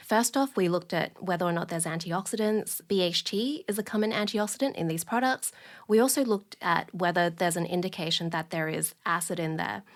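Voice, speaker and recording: A woman scientist giving plain-spoken explanations of technical points as an interview guest. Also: lowish voice.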